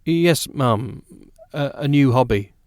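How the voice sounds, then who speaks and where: A man's voice: mid-range voice, lively intonation, slow pace, a little mellow, dry recording, clean studio sound. A man voicing a nervous young man who speaks carefully and stammers now and then. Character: nervous young man hiding his origins.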